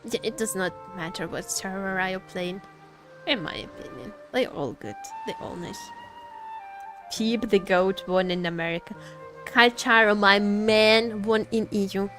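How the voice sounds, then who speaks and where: A woman's voice: mid-range voice, sing-song, unhurried, rough recording. A woman game streamer chatting casually with viewers in a playful, teasing way as she reads and answers their comments. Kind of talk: casual livestream chat with gaming commentary. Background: background music.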